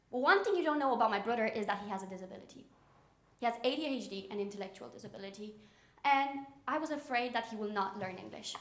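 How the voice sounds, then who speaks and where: A young woman from Romania, a native Romanian speaker, giving a live startup pitch into a microphone as personal storytelling. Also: fairly bright tone. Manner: personal storytelling with small chuckles. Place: indoor event room with an audience. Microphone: event microphone. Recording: echoey room, live event microphone.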